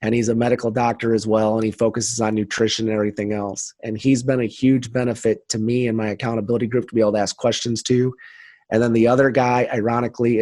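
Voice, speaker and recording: A man's voice: mid-range voice, a little mellow, no room sound. A man speaking earnestly and motivationally as a guest in a conversational interview. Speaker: podcast guest, leadership trainer.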